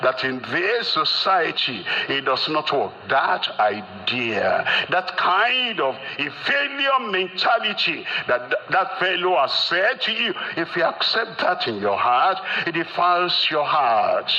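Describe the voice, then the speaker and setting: An older man delivering an emphatic sermon, speaking deliberately and repeating phrases for emphasis. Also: a little high, sing-song, bright, forceful tone, muffled recording. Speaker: preacher.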